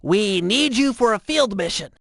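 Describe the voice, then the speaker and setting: A man's voice: high voice, animated, normal speed, fairly bright tone, no echo, studio quality, full character voice. A man voicing a brainy, earnest young turtle tech genius for a cartoon video game, talking in a precise, wordy, nasal way. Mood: confident briefing. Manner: precise, wordy, pedantic planner.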